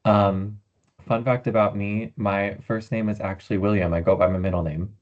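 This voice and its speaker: A man giving a brief, low-key introduction on a video call, sitting away from his mic. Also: steady tone, normal speed, a little mellow. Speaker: team administrator. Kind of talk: casual introduction. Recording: dull audio, away from the mic.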